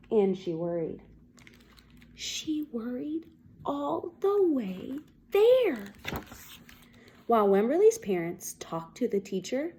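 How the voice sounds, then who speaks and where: A woman reading a children's picture book aloud as a teacher, expressive and deliberate, pausing to put questions to young listeners. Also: medium pitch, slight background noise.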